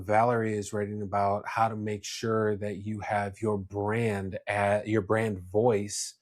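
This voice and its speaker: Low-voiced man hosting a business podcast. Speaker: podcast host. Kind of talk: casual business discussion.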